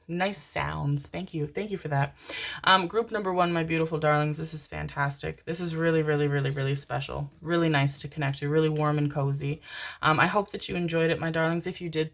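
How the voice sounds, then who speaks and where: Woman, tarot reader, low voice, rambling, affectionate pet names, young adult. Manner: affectionate, chatty, rambling with many fillers and endearments. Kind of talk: casual channeled tarot reading to camera.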